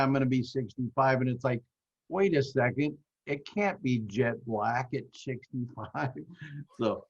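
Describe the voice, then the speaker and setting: A man's voice: medium pitch, highs cut off. A man in his sixties, a record producer on a video-call panel, chatting and laughing in a self-deprecating way. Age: 60s. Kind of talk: casual panel chat.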